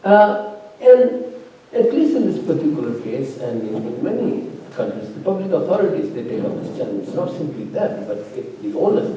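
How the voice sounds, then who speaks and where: A man's voice: a little high, mellow, dull audio, slight background noise. A man serving as faculty opponent at a thesis defense, talking through it discursively with many fillers and restarts, heard from a distance in a large lecture room. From immigrant living in Norway. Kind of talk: spoken academic commentary. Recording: distant, roomy lecture-hall sound.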